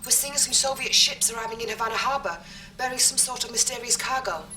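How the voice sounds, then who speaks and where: A woman's voice: on the high side, fast talker, pushed and bright, far from the mic, a little hiss or hum, poor audio. A woman acting as an earnest military intelligence officer, giving urgent briefings in a video game.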